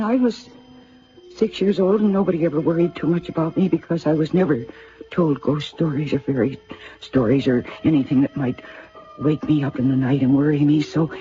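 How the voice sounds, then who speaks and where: Mellow, earnest young woman played by an actress. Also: low-pitched, moderate pace, lightly acted. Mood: puzzled, recalling. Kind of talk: old-time radio drama acting. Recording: muffled vintage radio drama recording. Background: music.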